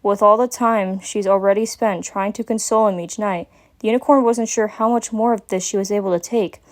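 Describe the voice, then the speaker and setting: Female story narrator, mid-range pitch, scripted dramatic reading of fiction. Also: steady tone, brisk.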